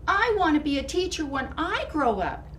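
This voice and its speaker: A woman's voice: high voice, big pitch swings, moderate pace, a bit bright, pitched above the performer's own voice. A woman voicing an eager, admiring young schoolgirl mouse from a storybook, with an emphatic, wide-eyed manner. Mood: eager, proud. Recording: distant mic with clear background noise.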